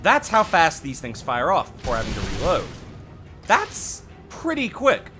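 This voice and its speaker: A man's voice: a little high, huge pitch swings, a little slow, music in the background. A man giving casual, conversational commentary on a game update as a host, offering his own opinions with some self-deprecation. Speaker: gaming channel host.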